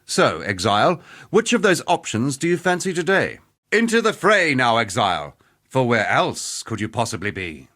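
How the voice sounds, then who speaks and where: A cocky old-hand brawler from a video game, teasing swagger, really animated pitch that jumps around. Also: male voice, moderate pace. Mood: jovial, cocky. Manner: jaunty, teasing, colloquial swagger. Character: cocky, playful veteran male arena fighter, jovially bloodthirsty. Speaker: video game character voice actor. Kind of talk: scripted game character dialogue.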